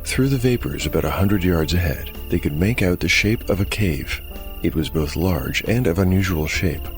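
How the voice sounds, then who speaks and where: Low-voiced man narrating dark fantasy. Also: lively intonation, normal speed. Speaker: narrator and game master. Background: music.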